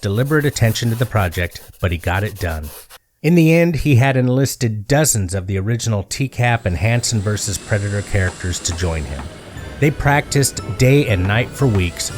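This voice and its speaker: A man narrates a scripted comic story in a mid-range voice. His pitch moves around a great deal, landing emphatically on key phrases. He keeps a deliberate, mock-earnest storyteller's delivery.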